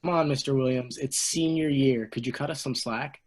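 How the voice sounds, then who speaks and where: A man playing an eager, insistent high school senior in an amateur script read over a video call. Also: moderate pace, lightly acted.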